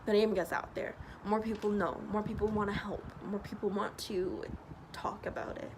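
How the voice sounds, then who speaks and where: A woman's voice: medium pitch, level tone, moderate pace. A young woman with a stuffy nose, answering questions in a casual vlog outdoors. She talks in an earnest, chatty way with many ums. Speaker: vlogger. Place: outdoors by a loud road. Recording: noisy outdoor recording. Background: passing traffic.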